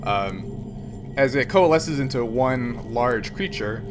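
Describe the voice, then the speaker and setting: A man's voice: mid-range voice, takes it slowly, over music. A man narrating as game master of a tabletop role-playing game, with loose, filler-heavy description. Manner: relaxed, rambling narration with many fillers.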